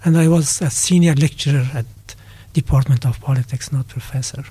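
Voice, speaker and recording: An older man from Afghanistan, a retired politics lecturer, answering interview questions thoughtfully with many pauses and restarts. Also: mid-range voice, steady tone, dark tone, husky, no room sound. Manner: thoughtful, with frequent hesitations and restarts.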